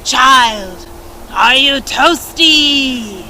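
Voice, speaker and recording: An ancient male winter spirit calls out in long, drawn-out words, a woman storyteller voicing him. His voice rings loud and slow. The tone is bright and fairly high. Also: expressive, slight background noise, exaggerated voice, poor audio. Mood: calling slowly.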